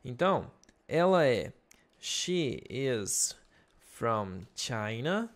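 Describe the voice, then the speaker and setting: A young man with a Brazilian Portuguese accent, an English teacher slowly explaining a lesson in a recorded video and checking in with his students. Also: mid-range voice, big pitch swings. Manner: slow, patient explaining with check-in questions.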